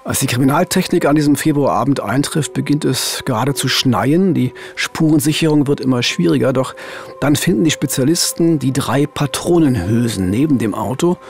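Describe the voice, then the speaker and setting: A man's voice: medium pitch, normal speed, gravelly, a little mellow. A man in his fifties narrating a crime case as a reporter in scripted dialogue at a studio microphone. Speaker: true-crime reporter and podcast co-host. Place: small dark studio. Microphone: studio boom microphone, headphones.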